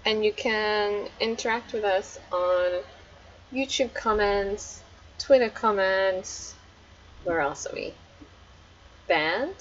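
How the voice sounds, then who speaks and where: A woman's voice: mid-range voice, slow pace, long pauses, fairly bright tone, dull audio. A woman with an Australian accent hosting a book club live stream in a friendly, welcoming way. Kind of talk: casual live-stream chat.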